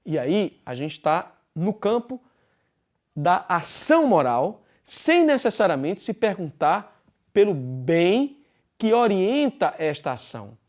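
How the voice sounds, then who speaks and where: A man with a Brazilian Portuguese accent teaching a philosophy class, speaking deliberately and posing questions he then answers himself. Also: sits high, sing-song, darkish tone. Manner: deliberate, poses rhetorical questions and answers them. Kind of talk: recorded class lecture.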